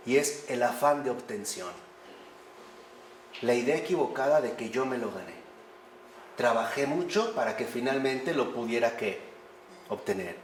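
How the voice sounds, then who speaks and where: A man teaching a lively lecture on faith, often posing rhetorical questions to his listeners. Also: medium pitch, slight background noise. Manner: animated, rhetorical questions to the audience. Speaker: priest teaching a class. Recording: echoey room with faint ambience.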